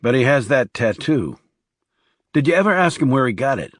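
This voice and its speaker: A man's voice: mid-range voice, sing-song, moderate pace, dry recording, clean studio sound. A man voicing a guarded, blunt male assassin in terse audiobook dialogue. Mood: probing. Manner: terse, questioning.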